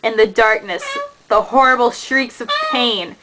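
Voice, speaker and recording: A woman giving a measured, dramatic narration of an epic poem as the storyteller of a puppet show. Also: a little high, noisy background.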